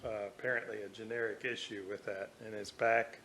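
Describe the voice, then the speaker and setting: A man narrating an unscripted workbench vlog as a hobbyist restorer, speaking haltingly in short, hesitant phrases. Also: lowish voice, little pitch movement, sharp tone. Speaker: hobbyist radio restorer presenting own video. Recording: clearly audible background noise.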